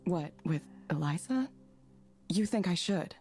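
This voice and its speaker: A woman's voice: on the low side, lively intonation, unhurried, a little mellow, soft background music. An actress playing a withdrawn, self-doubting woman in a visual novel, speaking in a hesitant, subdued and apologetic manner. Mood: hesitant, weary. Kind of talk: naturalistic visual novel voice acting.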